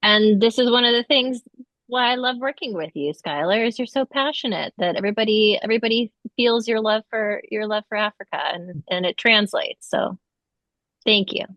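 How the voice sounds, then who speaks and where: A woman chatting casually and a little haltingly as a trip host on an online call. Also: medium pitch, dry recording, muffled recording. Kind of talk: casual webinar chat.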